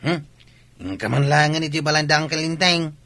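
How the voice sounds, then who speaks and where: Brusque, sharp-voiced Filipino villager. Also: male voice, a little high, normal speed, no echo. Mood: irritated, challenging. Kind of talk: comedy radio drama acting.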